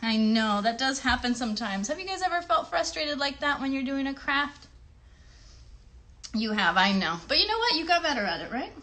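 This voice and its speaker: A woman's voice: sits high, big pitch swings, normal speed, pushed and bright. A woman hosting a children's craft show, giving simple step-by-step directions in an encouraging way and putting questions to the young viewers.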